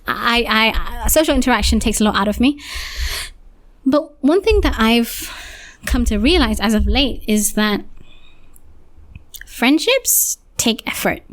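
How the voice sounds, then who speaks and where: A young woman hosting a solo podcast, slightly hoarse, talking in a rambling, reflective way with many restarts. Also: fairly high pitch, expressive, measured pace, pauses often. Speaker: podcast host.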